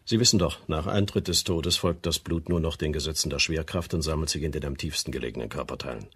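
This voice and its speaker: Male forensic pathologist for radio crime drama: low, husky, precise and sceptical. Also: fairly bright tone, heavily acted. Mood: measured, concerned. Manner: measured, clinical, explanatory.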